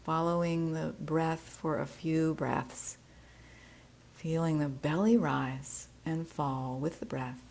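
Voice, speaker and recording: Slow, low-pitched woman guiding relaxation. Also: steady tone, gravelly. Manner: soothing, gentle, unhurried instruction. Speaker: yoga nidra teacher. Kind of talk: guided relaxation meditation.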